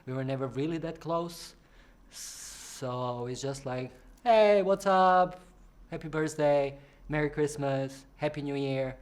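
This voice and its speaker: Chatty drag performer from Croatia. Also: male voice, takes it slowly. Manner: chatty, playful, acts out quoted people in high voices. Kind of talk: casual interview answers.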